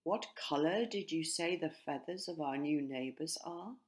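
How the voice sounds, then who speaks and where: A woman voicing a careworn male jackdaw chief in a children's storybook, measured and a little grumbly. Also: sits low. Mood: alarmed.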